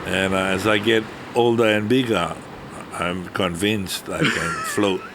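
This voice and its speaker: An elderly Hawaiian man with a Pidgin accent talks in an unhurried, easygoing way, chuckling now and then. His voice sits low and steady. There is noticeable background ambience behind him. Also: background noise. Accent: Hawaiian Pidgin English. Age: 80s. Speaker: interviewee. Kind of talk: casual interview talk.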